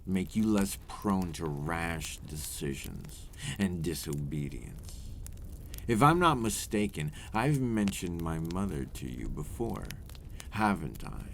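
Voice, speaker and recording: A man playing a weary, remorseful vampire captor in an intimate ASMR roleplay, confessing his past in measured, formal words. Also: low-pitched, sing-song, creaky, slight background noise, full character voice. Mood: soft, guarded.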